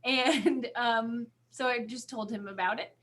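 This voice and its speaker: Podcast co-host, female, higher-pitched voice, gushing and emphatic, casual chat delivery. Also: fairly even intonation, unhurried, a bit bright.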